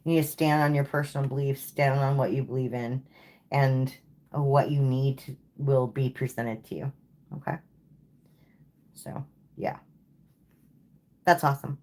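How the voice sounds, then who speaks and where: A woman with a low voice gives a live tarot reading in an easy, unhurried way. She sounds tired and a little worn, as if her voice is going, and keeps checking in with "okay?" as she talks. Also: fairly even intonation, darkish tone. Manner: casual, repeats "okay?" and "like". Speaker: tarot reader.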